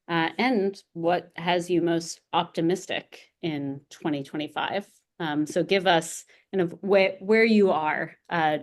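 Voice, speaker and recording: A woman moderating a panel discussion over a video call in a measured, organized way. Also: on the low side.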